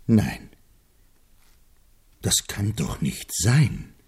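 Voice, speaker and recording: An earnest gentleman in a radio play. His voice is low and rough-edged, and he speaks formally, with grave, polite reproach. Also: sing-song, very slow, heavily acted. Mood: astonished. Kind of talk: German radio drama acting.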